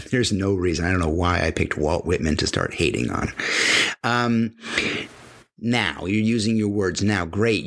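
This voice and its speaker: A middle-aged man hosting a podcast, giving an emphatic motivational talk that repeats phrases for weight and acts out the voices of imagined people. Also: on the low side. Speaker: podcast host. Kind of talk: motivational monologue.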